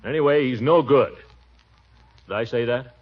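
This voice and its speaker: An actor playing a pompous, blustering middle-aged uncle in a 1940s radio comedy, exasperated and loud. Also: male voice, normal speed, breathy edge, lightly acted. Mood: grumbling. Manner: blustery, exasperated, swooping pitch. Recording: dull old radio broadcast with hiss.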